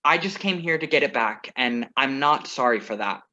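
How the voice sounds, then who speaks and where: A man's voice: medium pitch, animated, sharp tone. A man reading the part of a composed, wry ex-lover who crashes a wedding, in a staged play reading over a video call. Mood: earnest. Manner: measured, wry, earnest. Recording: muffled video call audio.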